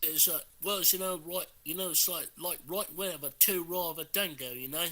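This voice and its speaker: A man's voice: fairly high pitch, fairly quick, bright, forceful tone. A man putting on a mock Australian bloke in rambling, filler-heavy slang during live stream banter. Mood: joking. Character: man faking a broad Aussie bloke.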